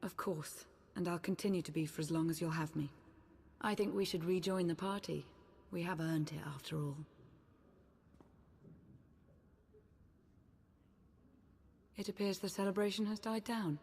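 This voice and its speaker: Composed, low-voiced woman adviser. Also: a little mellow, husky. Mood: earnest. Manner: measured, formal.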